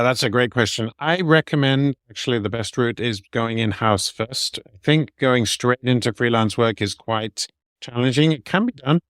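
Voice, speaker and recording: A middle-aged man answering interview questions in a measured, explanatory way, laying out his advice point by point. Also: medium pitch, no room sound, studio quality. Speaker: guest, medical translator.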